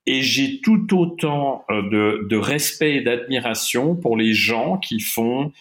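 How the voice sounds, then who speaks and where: A man's voice: mid-range voice, expressive, slow, a bit bright. A middle-aged man from Switzerland whose first language is German, a watch-industry guest talking in an interview with many fillers and restarts. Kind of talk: interview conversation.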